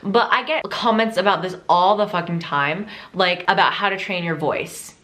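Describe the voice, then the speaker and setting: A young adult woman talking to her camera in a loose, chatty way. Her voice sits on the low side for a woman, and she swears freely and jokes as she goes. The tone is casual and playful throughout. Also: moderate pace, fairly bright tone. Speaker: vlogger. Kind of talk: casual chat.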